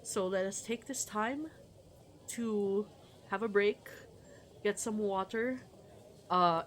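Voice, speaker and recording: A woman streamer chatting casually and reading aloud from a visual novel, talking in a chatty, hesitant way with pauses. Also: mid-range voice, a little hiss or hum.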